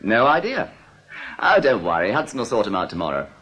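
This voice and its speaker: An actor playing a glib, evasive deputy bank manager in a radio drama, husky, soothing yet firm. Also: male voice, on the low side, very animated, a little fast, a bit bright, full character voice. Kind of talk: British radio drama acting.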